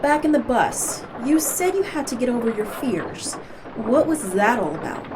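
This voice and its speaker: An actress playing a sassy, kindly wife with a breezy, familiar manner in a horror audio drama. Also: female voice, a little high, animated, normal speed, natural voice. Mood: warm, supportive. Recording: noisy ambience behind.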